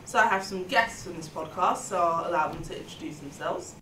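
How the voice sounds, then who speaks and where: A woman's voice: fairly low pitch, brisk, pushed and bright. A woman in her twenties hosting a panel podcast in a semi-scripted, conversational way. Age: 20s. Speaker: podcast host, student union welfare officer. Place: meeting room indoors. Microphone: table microphone, a little distant. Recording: echoey room, distant mic, background noise.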